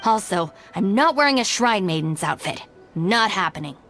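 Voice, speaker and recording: A woman's voice: mid-range voice, animated, normal speed, fairly bright tone. A woman playing a blunt, exasperated, no-nonsense young woman in a video game, with a dry way of talking. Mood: reluctant, blunt. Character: young woman gang deputy, no-nonsense, exasperated, reluctantly responsible. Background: music.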